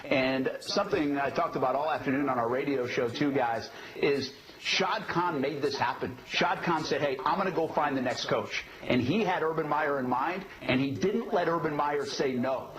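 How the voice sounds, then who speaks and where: A man delivers live sports commentary in a somewhat high-pitched voice. His delivery is emphatic yet conversational, as a TV reporter on breaking news. Clear control-room chatter runs behind him. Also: normal speed, hardly any pauses, poor audio.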